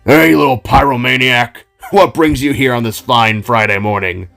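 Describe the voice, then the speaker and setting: Man voicing a gravelly, cheerful bakery husband. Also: medium pitch, normal speed, bright, forceful tone. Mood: welcoming. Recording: music behind the voice.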